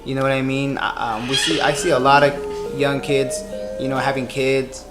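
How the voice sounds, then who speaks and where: A man's voice: mid-range voice, little pitch movement, normal speed. Young guy, chatty vlogger, music going behind him. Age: 20s. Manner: rambling, with many fillers and restarts. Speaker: barber and vlogger. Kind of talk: casual chat.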